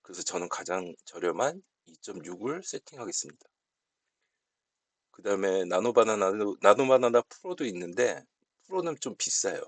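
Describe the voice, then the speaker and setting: A man explaining software settings step by step in an unscripted tutorial, speaking politely as an instructor. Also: fairly low pitch, very animated, normal speed, husky, no echo.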